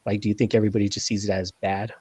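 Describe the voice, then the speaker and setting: Husky-voiced man hosting a podcast. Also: lowish voice, little pitch movement, rapid-fire, dark tone, no room sound. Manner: friendly, rambling questions. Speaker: podcast host. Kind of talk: casual interview.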